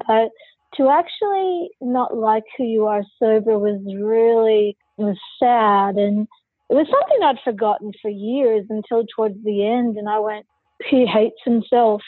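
A woman's voice: fairly high pitch, no echo, narrow, tinny audio. A woman who is a podcast guest, telling her personal story candidly and forthrightly in an interview.

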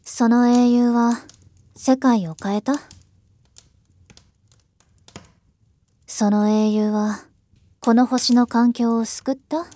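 A woman's voice: fairly high pitch, fairly even intonation, warm tone. A woman playing a world-weary, ironic elder sister in a voice drama, musing wistfully. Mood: probing, pensive. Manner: slow, wistful, rhetorical musing. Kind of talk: Japanese voice drama acting.

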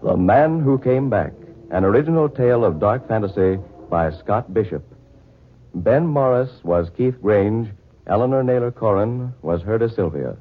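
A man's voice: fairly low pitch, lively intonation, moderate pace. An American man announcing formally from a script in 1940s radio style. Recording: tinny band-limited 1940s radio recording.

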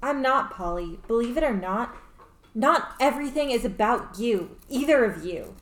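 A woman's voice: on the high side, lively intonation, moderate pace, lightly acted. A woman playing an earnest, perfectionist teenage girl in an amateur radio play. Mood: defiant, hurt. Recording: roomy, echoing room.

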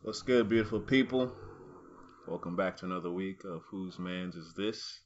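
Deep-voiced easygoing podcast co-host. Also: male voice, normal speed, muffled recording, slight background noise. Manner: easygoing, laughs often, filler-heavy. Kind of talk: casual chat.

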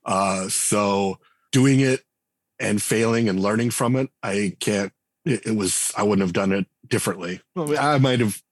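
A man's voice: fairly low pitch, unhurried, no room sound. A man in his forties speaking as a guest in a casual interview, talking loosely with many restarts and fillers. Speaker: game writer and designer guest. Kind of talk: conversational podcast interview.

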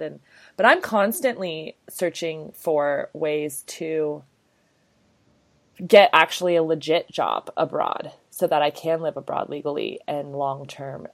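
A woman in her thirties co-hosting a podcast, talking in a chatty, bubbly way with lots of casual fillers. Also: sits low. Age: 30s. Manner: chatty, bubbly, many "like" and "you know" fillers. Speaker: podcast co-host.

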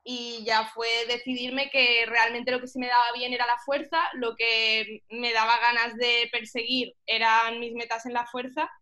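A woman's voice: fairly high pitch, flat delivery, normal speed, hardly any pauses, pushed and bright. A young woman raised mostly in Egypt, chatting casually as an interview guest. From Middle East, mostly Egypt. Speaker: powerlifting athlete and coach, podcast guest.

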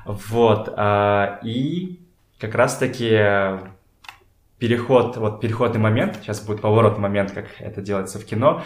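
A man's voice: lowish voice, normal speed. A young man from Kazakhstan telling stories casually as a podcast guest, informal and slangy, thinking aloud. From Kokshetau, Kazakhstan. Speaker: podcast guest, photographer and art director. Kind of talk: casual conversational storytelling. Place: indoors in a home. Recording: echoey room.

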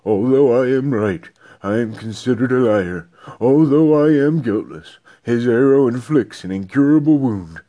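Male reader playing wronged man, loud, indignant, declamatory, protesting innocence, dramatic Bible storytelling. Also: mid-range voice, lively intonation, a little slow, natural voice. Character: aggrieved man loudly protesting his innocence.

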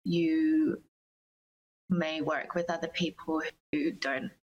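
Low-pitched, measured woman, slightly noisy recording. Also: sing-song, highs cut off. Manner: measured, earnest, careful phrasing. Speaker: guest, singer-songwriter. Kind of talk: reflective interview answers.

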